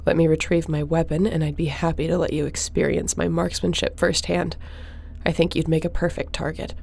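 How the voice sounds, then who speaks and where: A woman playing a tough, defiant space captain in a sci-fi audio roleplay, blunt and confrontational, with a husky voice. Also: deep voice, little pitch movement, a little fast, darkish tone, slight background noise. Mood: defiant, wary.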